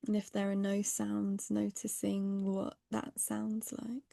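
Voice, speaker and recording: A woman leading a guided mindfulness meditation in a gentle, unhurried, breathy voice with long pauses, some phrases fading to a near-whisper. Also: medium pitch, completely flat delivery, no room sound.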